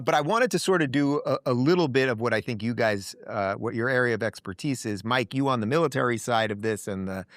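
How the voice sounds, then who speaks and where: A man's voice: fairly low pitch, no room sound, studio quality. A man hosting an interview show, talking in a loose, casual way with fillers and restarts. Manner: relaxed, with frequent uh fillers and restarts. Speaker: show host.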